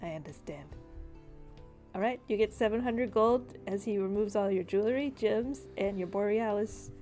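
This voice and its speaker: A woman's voice: medium pitch, normal speed, warm tone. Casual woman running a tabletop game. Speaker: game master. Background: music.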